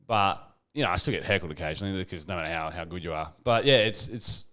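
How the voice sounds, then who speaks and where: A man's voice: low-pitched, quick pace. A man who does stand-up comedy, chatting casually and telling stories with plenty of swearing. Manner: relaxed storytelling, swears a lot. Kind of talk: casual chat.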